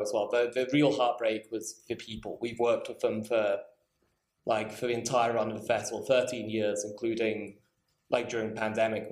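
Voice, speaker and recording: A man speaking as a festival director on a panel, informal and wry, with self-deprecating asides. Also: fairly low pitch. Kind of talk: panel discussion, informal spoken account. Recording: echoey room.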